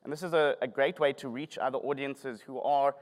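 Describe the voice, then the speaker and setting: A man's voice: medium pitch, fairly even intonation, brisk. Joking South African man in a hall. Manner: self-deprecating, joking. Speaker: conference speaker. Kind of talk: conference talk. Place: conference hall. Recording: long hall reverb.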